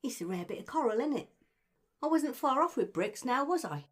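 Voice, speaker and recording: Woman playing a high, lively cheeky shop girl. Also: fairly quick. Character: cheeky, eager young working-class shop girl. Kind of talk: audio drama acting.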